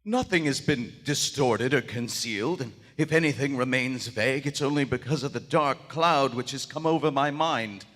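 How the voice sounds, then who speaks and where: Haunted horror writer, played by a male actor: formal archaic diction, mid-pitched, live radio theater in a big reverberant hall. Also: moderate pace, hardly any pauses, a bit bright, lightly acted. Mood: haunted, grave. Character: haunted, shaken writer of weird fiction, a man. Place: large theater stage, live performance.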